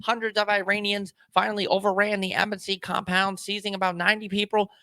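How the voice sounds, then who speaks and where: A man's voice: sits high, level tone, quick pace, sharp tone, no room sound. A man playing a television news reporter, reading a crisis bulletin in a formal, urgent, declarative manner. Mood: urgent, grave.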